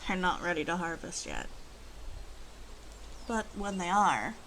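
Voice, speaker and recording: Woman doing a werewolf lady asmr rp, unhurried and deliberate, wry and teasing, nature noise behind her. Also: on the low side, big pitch swings, a bit bright, heavily acted, rough recording. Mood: calm, instructive. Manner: slow, deliberate, teasing, faintly disdainful of human ways. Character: proud, wry female werewolf living wild in the forest. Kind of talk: ASMR roleplay, speaking intimately to the listener.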